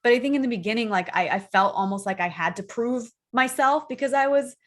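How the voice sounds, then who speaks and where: Female rock singer as interview guest: soft, girly voice, informal and candid, conversational delivery. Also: fairly high pitch, a little fast. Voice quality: soft, girlish feminine voice.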